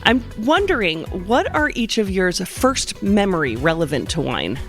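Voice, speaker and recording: A woman hosts a casual interview in a mid-pitched voice with very lively intonation. She sounds enthusiastic and responsive, over faint background music. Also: normal speed. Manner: enthusiastic, reactive. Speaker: interviewer, host.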